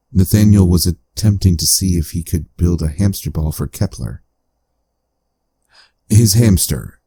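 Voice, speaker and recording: A man playing a calm, analytical AI companion in a cyberpunk audio drama, speaking in a measured, formal, clinical way. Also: low voice, no echo. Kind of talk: scripted audio drama narration.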